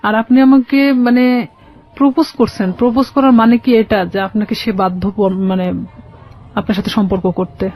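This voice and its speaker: A woman with a Bangladeshi accent telling a personal story as a radio guest, halting and repeating phrases as she explains. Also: on the high side, steady tone, a little mellow, faint music behind. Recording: slightly dull, lo-fi radio sound.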